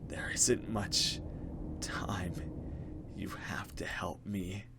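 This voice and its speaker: A man voicing a trapped ghostly younger sister in a visual novel, weak, pleading and whispery, with slow halting delivery. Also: low voice, fairly even intonation, pushed and bright, pitched below the performer's own voice, exaggerated voice. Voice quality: whispery, breathy.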